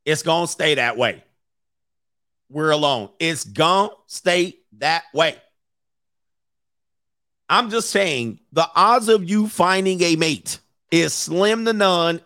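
A man in his forties with a gravelly, slightly high voice, roasting clips in brash, slangy commentary. He laughs often and keeps his delivery loose and mocking. The sound is dry and close. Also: fairly bright tone, no echo. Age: 40s. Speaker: commentary host.

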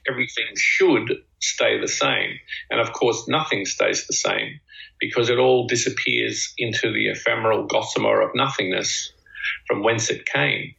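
A man co-hosting a talk show, musing slowly in a reflective, conversational way. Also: lowish voice, big pitch swings, creaky, sharp tone. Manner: slow, musing, with drawn-out phrases. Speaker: podcast co-host. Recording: dull lo-fi sound.

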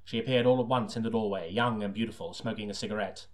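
A man playing a brooding hard-boiled detective, narrating in a grave, deadpan, portentous noir style. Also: on the low side, fast talker, lightly acted. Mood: wistful, deadpan.

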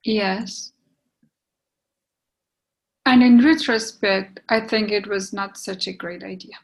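Woman acting a slow, regretful departing lover. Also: medium pitch, steady tone. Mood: resigned. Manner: restrained, apologetic, measured. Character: regretful, resigned woman gently ending a love affair. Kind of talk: readers theatre play reading.

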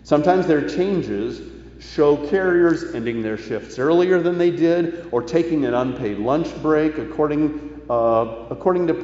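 A man preaching a sermon in a deliberate, emphatic way, with rhetorical phrasing. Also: on the high side, animated, darkish tone. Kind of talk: sermon, partly reading quoted news aloud. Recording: echoey church hall, slightly dull sound.

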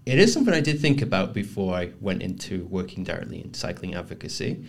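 A man talks as a podcast guest. His voice sits low, and he speaks earnestly, pausing over his words as he works out his thoughts. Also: big pitch swings, vocal fry. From north of England. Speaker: podcast guest, communications manager. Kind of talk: casual podcast interview.

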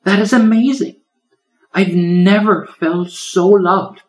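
A man's voice: high-pitched, fairly even intonation, takes it slowly, roomy sound, voice pushed up. A man voicing a tiny, innocent turtle in a storybook, wide-eyed, adoring and full of eager wonder. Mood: awed, joyful.

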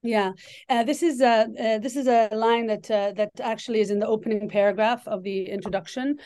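A woman from Lebanon, an author and scholar, answering interview questions thoughtfully with many pauses and ums. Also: on the high side, fairly even intonation, a little mellow, no room sound.

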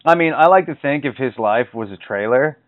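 A man's voice: mid-range voice, lively intonation, a little fast, dry recording. A man hosting a podcast, chatting casually and jokingly with a guest. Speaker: podcast host interviewing a guest. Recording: dull, lo-fi audio.

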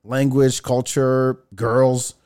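French-native guy on a podcast, chatty and animated, keeps restarting sentences. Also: mid-range voice, very slow, clear, resonant tone. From France. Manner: animated, restarts phrases, laughs easily. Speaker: podcast guest. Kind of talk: casual storytelling interview.

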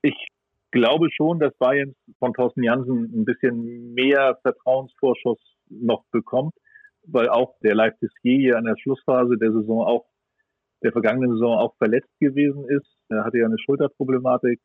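A man's voice: medium pitch, fairly even intonation, normal speed, no room sound. A man giving conversational sports analysis in a considered way, thinking aloud as he weighs his judgments. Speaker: sports pundit. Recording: dull, band-limited audio.

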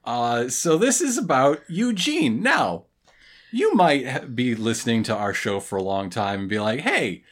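A woman's voice: a bass voice, very animated, a little slow. A woman co-hosting a podcast in casual, comedic recap chat, jokey and prone to puns and chuckling asides. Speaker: podcast co-host.

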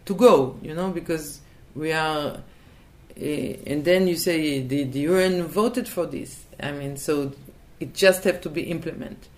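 Woman with a hesitant, halting delivery. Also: low-pitched. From Israel. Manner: hesitant, searching for words, many restarts. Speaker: interview guest. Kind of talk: thoughtful conversational interview.

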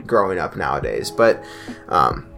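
A man's voice: deep voice, big pitch swings, a little slow, gravelly, sharp tone, soft background music. A young man hosting a casual podcast, chatting in a loose, rambling way with plenty of restarts. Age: young adult. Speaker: podcast host.

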